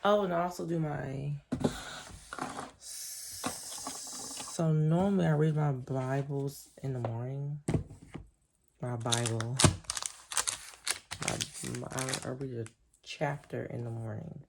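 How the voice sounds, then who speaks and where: A woman chatting casually to her camera at home, sleepy and soft-spoken, rambling and correcting herself as she goes. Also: slow, vocal fry, long pauses, very noisy. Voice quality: deep voice. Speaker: vlogger. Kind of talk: casual chat. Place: her apartment, indoors.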